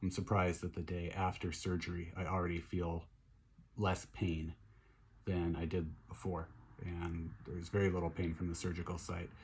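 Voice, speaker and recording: A middle-aged man with a hoarse voice, chatting casually in a video diary, upbeat and relaxed with many fillers and restarts. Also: deep voice, moderate pace, slight background noise. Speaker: vlogger recovering from surgery.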